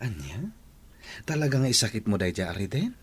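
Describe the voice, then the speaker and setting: A man's voice: on the low side, big pitch swings, moderate pace, breathy edge, exaggerated voice. A man playing a spoiled, scornful young man who taunts others in a radio comedy drama. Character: spoiled, mocking young man who belittles his jobless neighbor.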